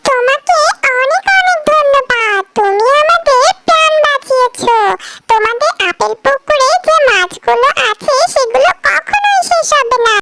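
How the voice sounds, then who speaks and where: Tiny, piercingly high magical fish. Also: female voice, expressive, normal speed, sharp tone, dry recording, heavily acted, rough recording. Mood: grateful. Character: grateful kindly talking magical fish. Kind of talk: cartoon character dialogue.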